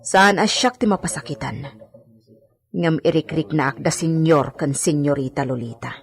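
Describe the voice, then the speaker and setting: A woman's voice: low voice, normal speed. A woman acting a stern, scolding older female household servant in an Ilocano radio drama.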